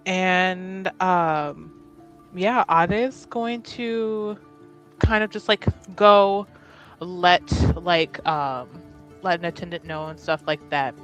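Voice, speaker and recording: A woman's voice: fairly low pitch, takes it slowly, fairly bright tone, soft background music. A woman, giggly and rambling as she improvises casually as a player in a tabletop roleplaying game.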